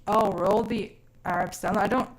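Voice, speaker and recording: A woman from South Africa who grew up mostly abroad talks to her live stream viewers. Her delivery is casual and expressive, with an informal, sweary way of putting things. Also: medium pitch, normal speed. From born in South Africa, grew up mainly overseas from age six. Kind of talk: casual chat.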